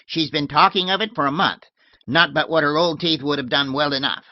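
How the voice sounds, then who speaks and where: A man reading an audiobook voices a shrill, tart, imperious old woman who snaps and scolds. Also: steady tone, brisk, no room sound, dull audio, close to an everyday voice. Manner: snapping, sharp and scolding.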